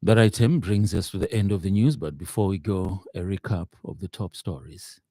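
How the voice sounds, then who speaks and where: A man presenting a radio news bulletin, reading in a halting, hesitant way. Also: low-pitched, dark tone.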